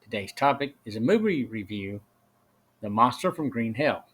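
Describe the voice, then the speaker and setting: A middle-aged or older man hosting a podcast, reading a prepared review in a measured way with occasional small stumbles. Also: medium pitch, big pitch swings, fairly bright tone, husky. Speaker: podcast host and film reviewer. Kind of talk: scripted podcast narration and review.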